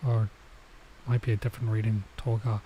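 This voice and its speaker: A man's voice: fairly low pitch, little pitch movement, warm tone, slightly rough. A man doing a casual let's play, reading visual novel text aloud and commenting as he goes. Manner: halting when sounding out foreign words. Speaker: streamer playing a visual novel.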